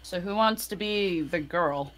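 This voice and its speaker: A woman's voice: lowish voice, a little slow. A woman chatting casually and playfully in a group of friends. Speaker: show participant. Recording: noticeable background noise with clicks.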